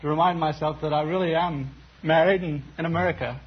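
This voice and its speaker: A man's voice: fairly high pitch, fairly even intonation, fairly quick, breathy edge. A young man from Ireland with a Donegal accent, a quiz contestant talking earnestly and politely. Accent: Irish, Donegal. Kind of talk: casual chat. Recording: lo-fi 1950s radio broadcast.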